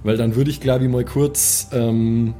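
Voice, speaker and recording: A man's voice: mid-range voice, fairly even intonation, measured pace, dark tone, faint music behind. A man casually improvising his moves as a tabletop player, thinking aloud with some hesitation. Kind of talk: casual improvised game talk.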